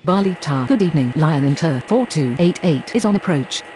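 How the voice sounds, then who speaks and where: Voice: medium pitch, expressive, brisk, a little mellow, slight background noise, lightly acted, low-quality recording. A performer voicing a procedural airport radio operator in a game, reading formal aviation phraseology. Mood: businesslike. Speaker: air traffic control radio voice.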